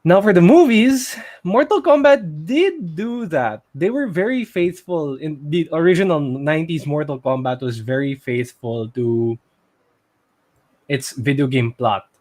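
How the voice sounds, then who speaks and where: A man with a Filipino accent co-hosting a casual podcast, talking loosely and thinking aloud with pauses. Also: on the high side, animated, darkish tone. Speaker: podcast co-host. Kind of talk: casual pop culture podcast chat.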